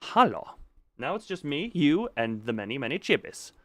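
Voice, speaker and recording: A man's voice: a little high, very animated, normal speed, a bit bright, no room sound. A man livestreaming with casual chat, muttering and thinking aloud in a distracted way. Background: background music.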